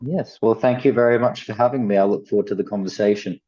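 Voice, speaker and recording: A man's voice: lowish voice, quick pace, dark tone, highs cut off. A man in his thirties from the Gold Coast with an Australian accent, chatting casually as a podcast guest. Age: 30s. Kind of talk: casual conversation. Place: at home, main living space.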